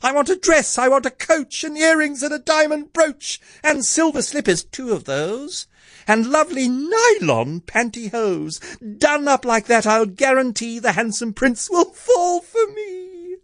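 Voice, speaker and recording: Bossy young fairy-tale woman, played by a man: shrill, impatient, theatrical, pitched well up, comic storybook. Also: animated, measured pace, fairly bright tone, no room sound, full character voice. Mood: demanding, whining. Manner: shrill, demanding, dramatic. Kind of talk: comic rhyming storybook reading.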